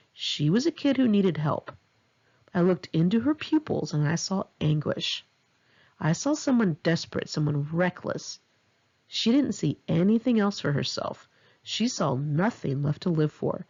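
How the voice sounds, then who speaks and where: American female memoir narrator, lively pitch movement, gravelly edge, confessional delivery, slightly dull home recording. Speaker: author reading own memoir. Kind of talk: audiobook narration of a first-person memoir.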